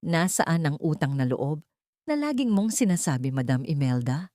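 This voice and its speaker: Female reader as bitter Filipina avenger, story drama; quietly menacing, lowish, dry close sound. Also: sing-song, normal speed, no room sound. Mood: cold, vengeful.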